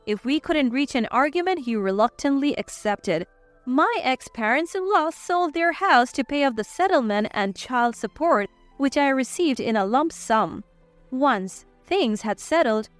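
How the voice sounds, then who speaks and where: A woman's voice: high-pitched, dry recording. A woman reading a scripted first-person story as its narrator. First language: English.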